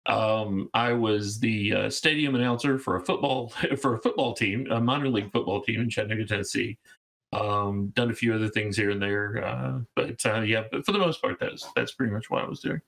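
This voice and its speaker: A man's voice: fairly low pitch, a little fast, gravelly, fairly bright tone, poor audio. A man of fifty from Georgia, chatting casually as an interview guest, rambling with fillers and restarts. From Georgia, USA. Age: 50s. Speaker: guest game designer.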